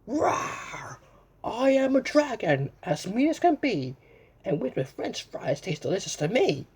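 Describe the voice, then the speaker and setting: A woman's voice: mid-range voice, sing-song, measured pace, a little mellow, slightly rough, exaggerated voice. A woman voicing a mean, boastful male fire-breathing dragon from a storybook, menacing and roaring.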